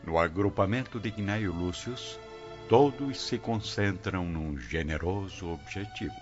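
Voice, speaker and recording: A man's voice: sits low, measured pace. A man with a Brazilian accent narrating a radio drama in a solemn, scripted style. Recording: dull lo-fi audio with music.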